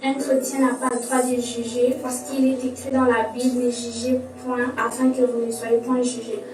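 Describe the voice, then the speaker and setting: An eighteen-year-old young woman explaining a point of faith in an even, didactic way. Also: a little high, moderate pace, music in the background. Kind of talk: recorded youth testimony. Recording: echoey room, from far off.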